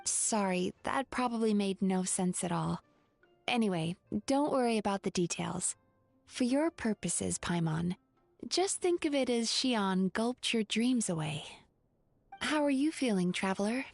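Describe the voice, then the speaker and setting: A composed young woman therapist from an anime game. Her medium-pitched voice is measured, caring and articulate with a wry edge, recorded dry over faint music. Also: expressive, creaky, dry recording. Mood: thoughtful, caring. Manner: measured, articulate, gently reassuring. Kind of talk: English anime-style game voice acting.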